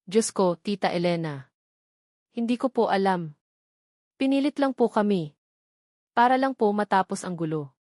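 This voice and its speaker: An embittered, proud young policewoman, played by a woman narrator in a romantic audio drama. She is confrontational and dramatic, with a medium-pitched voice recorded dry. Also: expressive, normal speed, pauses often, darkish tone, no room sound, natural voice. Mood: distressed.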